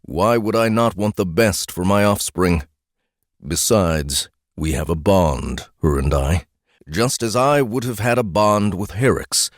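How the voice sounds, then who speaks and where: A man's voice: sits low, a little slow, dry recording, studio quality. A man voicing a proud, menacing beast war leader with a formal, solemn, cold manner.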